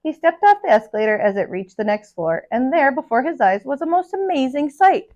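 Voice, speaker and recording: A woman who is a teacher and storyteller, reading a children's picture book aloud in an expressive, dramatic way. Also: sits high, a little fast.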